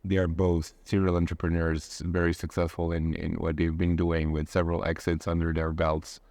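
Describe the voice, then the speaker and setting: A man's voice: low-pitched, monotone, moderate pace, gravelly, darkish tone, no room sound. A young man in his twenties, a podcast guest, who talks with many restarts and repeated words. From Romania. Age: 20s. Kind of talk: casual interview.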